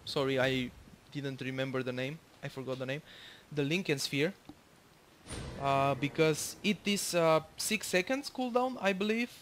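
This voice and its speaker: Man, Bulgarian accent, fairly high voice, casual game commentary, rambling, fillers, faint background noise. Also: measured pace, a bit bright. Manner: rambling, many fillers, swears freely. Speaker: gamer commentating his own match.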